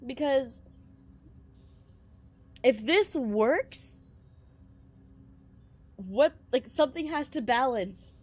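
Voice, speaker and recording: Animated, higher-pitched woman streaming a game. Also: extremely slow, pauses often. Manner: slangy, exclamatory, swearing. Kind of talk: casual gameplay reaction commentary. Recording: music behind the voice. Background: game music.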